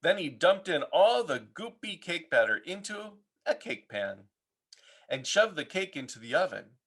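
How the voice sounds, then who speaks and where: A man reading a children's story aloud as an expressive narrator, playful with his words. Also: a little high, measured pace, slightly rough. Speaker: storybook reader.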